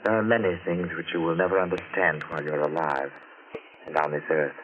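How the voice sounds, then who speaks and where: Very deep, ominous dead man played by an actor. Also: little pitch movement, natural voice. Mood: quietly ominous. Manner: slow, ominous, cryptic. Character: hideous burned dead man returned from the grave. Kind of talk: 1940s radio horror acting. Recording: dull old radio recording.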